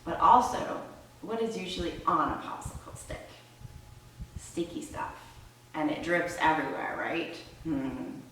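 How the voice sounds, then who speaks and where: A woman with a low voice speaks as a reading tutor and storyteller. Her delivery is expressive and conversational, directed at her listeners. The recording is very echoey and sounds some way from the microphone. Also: a little slow, slight background noise. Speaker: reading tutor and read-aloud presenter. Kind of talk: read-aloud storytelling and instructional explanation. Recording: roomy echo, distant microphone.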